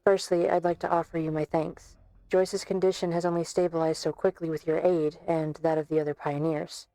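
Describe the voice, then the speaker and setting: A calm, formal doctor speaks with quiet resolve in a low register, voiced by a woman. The voice is dry and close to the mic, measured and courteous but unbending. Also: no room sound, low-quality recording. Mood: steady. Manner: composed, polite and firm. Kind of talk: amateur fan dub acting.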